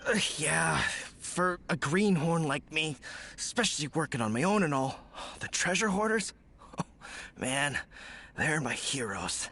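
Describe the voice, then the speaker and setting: A man's voice: fairly high pitch, lively intonation, a little slow, vocal fry, pushed and bright, exaggerated voice. A man voicing a bumbling, sheepish young petty thief in a video game, with a folksy, rambling manner. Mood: sheepish, admiring. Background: game music.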